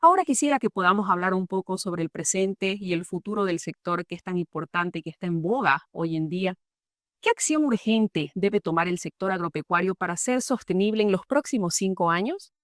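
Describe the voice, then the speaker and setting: A woman's voice: on the low side, expressive, brisk, no room sound, clean studio sound. A woman hosting an interview, speaking in a polite, formal manner as she introduces and questions her guest. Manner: polite, formal, warm-toned welcome. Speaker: interviewer, host.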